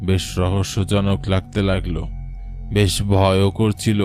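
A man's voice: deep voice, flat delivery, a little slow, a little mellow, soft background music. A man playing a weary, frightened village rickshaw puller, telling a cartoon ghost story in an anxious, suspenseful, wondering way. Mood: alarmed, mystified. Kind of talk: dramatic first-person horror story narration for a cartoon.

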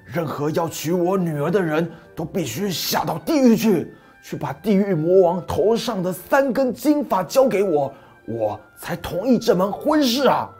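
A man's voice: high-pitched, very animated, unhurried, a little mellow, breathy edge, faint music behind, exaggerated voice. A man playing a scheming, haughty storybook king. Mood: furious. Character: scheming greedy middle-aged male king.

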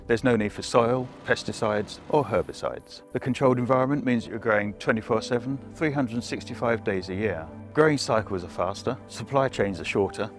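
A man giving scripted, explanatory promotional narration as a company spokesman. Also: on the low side. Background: music.

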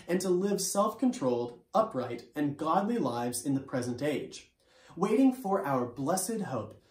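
A young man leading a school chapel from home, reading scripture calmly and singing playful children's songs with guitar. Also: on the high side. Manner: playful, animated when singing, calm and plain when reading. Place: living room, seated on a couch. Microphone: camera in front, upper body framing. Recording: roomy home recording with guitar.

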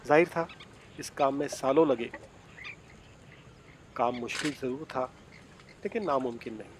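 A man giving measured, scripted documentary narration as a travel vlogger. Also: medium pitch, a little hiss or hum. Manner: measured, storytelling delivery.

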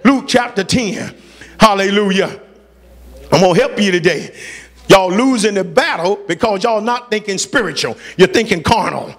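A man's voice: sits high, normal speed, a bit bright. A man preaching a fiery sermon, exhorting emphatically in colloquial, repetitive phrases and quoting scripture. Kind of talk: fiery sermon with scripture readings and acted quotes.

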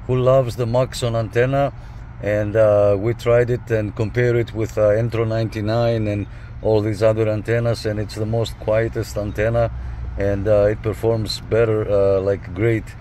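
A man's voice: on the low side, warm tone. Male CB operator, casual and conversational, in a vehicle with faint background noise. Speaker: CB radio operator, host. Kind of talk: casual radio chat to camera. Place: inside a parked minivan outdoors. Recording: faint engine and car noise. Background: faint vehicle and outdoor noise.

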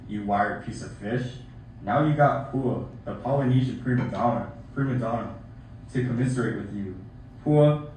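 A man's voice: medium pitch, a little mellow, lightly acted. A man voicing a mocking, boastful schoolboy bully in an amateur puppet show. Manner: taunting, sneering. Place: theater, camera far from puppet stage. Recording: distant echoey theater recording, faint noise.

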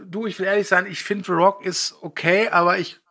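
A man with a bright, husky voice co-hosts a relaxed film podcast. He runs the quiz and teases the others with blunt, cheeky remarks. His voice sits a bit high for a man. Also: a little fast. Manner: teasing, blunt opinions. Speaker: podcast co-host running the quiz. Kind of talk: casual film podcast chat.

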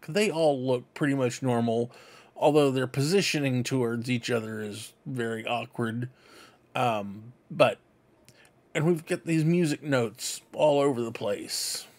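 A middle-aged man hosting a fan vlog, giving casual show-and-tell commentary in a rambling, conversational way. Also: mid-range voice. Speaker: host of a fan book club vlog. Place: cluttered room indoors at home. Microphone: desk microphone close in front.

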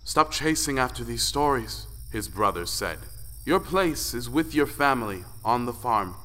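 A man's voice: mid-range voice, lively intonation, measured pace, pushed and bright. A man narrating a folktale audio play as a dramatic storyteller. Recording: long reverb, music underneath.

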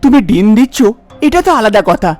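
A woman's voice: fairly high pitch, animated, a little fast, a little mellow, soft background music, lightly acted. A woman voicing a young husband in a cartoon story, exclaiming and questioning. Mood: startled, annoyed.

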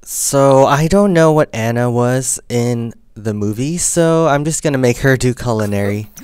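A man's voice: mid-range voice, measured pace, a little mellow, soft background music. A young man casually commenting on his life-simulation game as he plays, thinking aloud and making choices. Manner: chatty, thinking aloud, counting and deciding as he plays. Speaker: gaming channel host. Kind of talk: casual let's-play commentary.

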